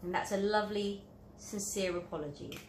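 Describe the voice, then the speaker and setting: A woman's voice: medium pitch, normal speed. A woman reading a children's picture book aloud, explaining it in simple phrases for young children. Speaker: children's storybook reader. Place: indoor room, at a table. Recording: roomy echo, light background noise.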